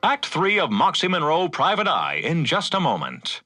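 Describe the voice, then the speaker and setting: Casting: flustered announcer type, sharp forward tone, lively swings, comic radio play. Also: male voice, fairly high pitch, moderate pace, faint music behind, heavily acted. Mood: polished announcing. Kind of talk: announcer in comedic audio drama.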